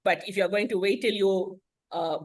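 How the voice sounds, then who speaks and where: A woman's voice: mid-range voice, steady tone, moderate pace, a little mellow. A woman whose first language is Tamil, giving a frank, explanatory presentation on a video call as an English teacher. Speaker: guest speaker, English teacher.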